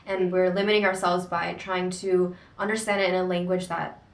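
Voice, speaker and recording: A young woman talks through a book in a thoughtful, halting way. Her voice is on the low side and rather hesitant. She sits back from the mic, and the room adds a light echo. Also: level tone. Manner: thoughtful, hesitant, many pauses. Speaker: co-host of a book discussion channel. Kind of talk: casual book discussion. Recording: echoey room, distant mic.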